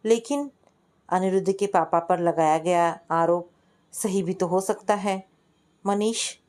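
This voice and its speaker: A woman's voice: fairly low pitch, slow, a little mellow. A woman voicing a young female student in a story, caring and insistent, with a questioning, teasing manner. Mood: doubtful.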